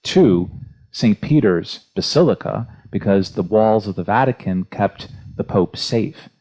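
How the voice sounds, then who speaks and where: A man hosting a religious question-and-answer talk as a priest, speaking off the cuff and haltingly, often searching for words. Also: lowish voice, animated, mellow. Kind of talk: unscripted church history discussion and reading listener questions. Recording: dull, muffled lo-fi audio.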